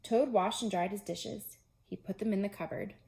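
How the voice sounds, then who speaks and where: A woman's voice: medium pitch, normal speed, vocal fry, dark tone. A woman preschool teacher reading a storybook aloud to young children, addressing them directly with simple explanations.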